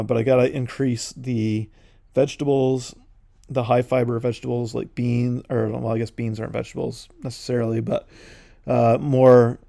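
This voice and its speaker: Gravelly-voiced man in his forties, rambling. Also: mid-range voice, dark tone, dry recording, clean studio sound. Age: 40s. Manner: meandering, many fillers and side notes. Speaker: podcast host painting on camera. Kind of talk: casual rambling monologue.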